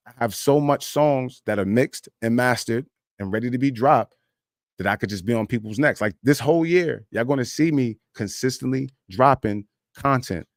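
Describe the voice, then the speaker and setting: A man in his thirties talks straight into his streaming mic, loose and rambling as he shares his thoughts with viewers. His speaking pitch sits in a plain middle range. The sound is dry and close, with no room around it. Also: no room sound. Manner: rambling, loose and candid, peppered with "you know what I'm saying". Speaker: independent rap artist streaming live. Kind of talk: casual live-stream monologue.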